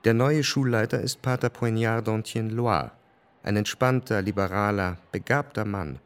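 A composed storyteller voiced by a man narrates a historical radio drama. His voice is low, and the delivery is clearly scripted narration. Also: moderate pace, natural voice.

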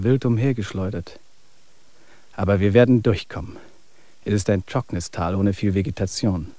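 An actor in a radio play as a wry, weary male traveler, speaking in an even, reflective way. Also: lowish voice, no echo, close to an everyday voice. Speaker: main character.

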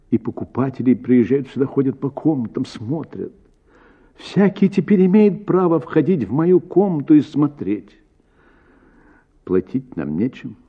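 A man's voice: medium pitch, creaky, breathy edge, voice pushed up, exaggerated voice. A man voicing an anxious, emotional woman over thirty in a radio story, plaintive and agitated. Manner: plaintive, agitated, emphatic repetition. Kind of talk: acted dialogue in radio story reading. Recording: narrow-band, phone-like sound.

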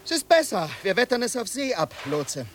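A man's voice: high-pitched, big pitch swings, normal speed, slight background noise. An actor playing a resolute ship's helmsman in a radio drama, speaking in a clipped, commanding way. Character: resolute ship's helmsman giving brisk orders. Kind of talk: 1990s German radio play acting.

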